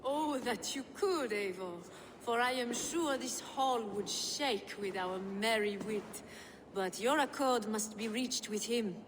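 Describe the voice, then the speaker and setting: A woman's voice: a little high, slow pace. An actress playing a proud, fiery Frankish noblewoman in a video game, with a sardonic, theatrical manner. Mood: haughty, teasing. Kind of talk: video game cutscene acting. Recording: long hall echo, background noise.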